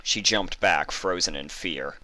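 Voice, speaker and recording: A man with a deep voice narrates a piece of fan fiction. His tone has a bright, forceful edge. The sound is close and very dry, as scripted reading. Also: unhurried, dry recording, low-quality recording.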